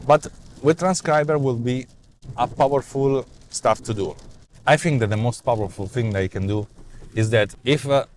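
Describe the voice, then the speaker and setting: A man's voice: mid-range voice, normal speed, a little hiss or hum. Italian-accented man rambling in a car. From Italy. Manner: animated, gestures a lot, many repeats and fillers. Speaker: vlog host and app developer. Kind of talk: casual spoken rant. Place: inside a parked car, daytime. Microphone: clip-on lapel mic.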